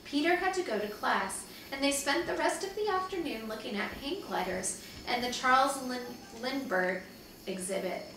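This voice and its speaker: A woman in her thirties, a teacher, reading a storybook aloud to children in an expressive way. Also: high-pitched, moderate pace. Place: living room at home, indoors. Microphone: far camera. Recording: distant mic, very echoey room, noisy.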